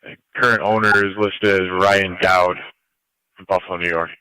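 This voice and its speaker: A man's voice: sits low, little pitch movement, normal speed, bright, forceful tone, smooth, no echo, rough recording. A man speaking in clipped procedural phrases over a two-way police radio. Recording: dry police scanner radio stream.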